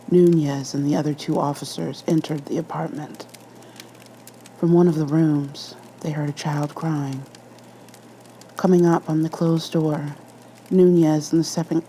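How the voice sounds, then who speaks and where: A woman's voice: deep voice, mellow, slight background noise, poor audio. A woman reading a scripted horror story as an ASMR narrator.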